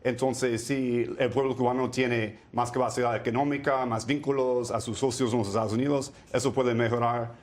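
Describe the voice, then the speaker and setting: Measured man searching for his words. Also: medium pitch, fairly even intonation. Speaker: policy consultant, panel guest. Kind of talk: studio news debate.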